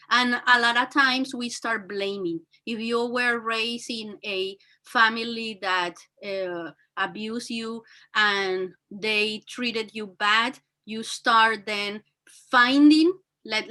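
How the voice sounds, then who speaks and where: Woman, very high-pitched voice, bright and forceful, earnest, halting speech. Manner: earnest, halting with fillers and restarts. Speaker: guest speaker and teacher. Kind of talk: motivational talk.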